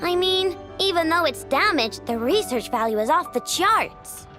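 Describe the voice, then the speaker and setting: A cocky little girl inventor from a video game, very high and swooping in pitch. She bubbles over and brags happily, with music clearly behind her. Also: lively intonation, unhurried, bright, forceful tone, full character voice. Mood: excited, proud. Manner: exclamatory, bubbly, boastful.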